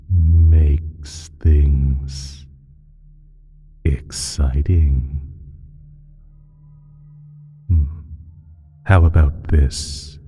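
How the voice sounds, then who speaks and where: A man playing an ancient evil creature in an audio drama, gloating and playfully menacing, speaking in a slow, breathy, condescending drawl. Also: a bass voice, warm tone, full character voice. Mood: gloating, conspiratorial. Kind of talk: scripted roleplay audio drama monologue.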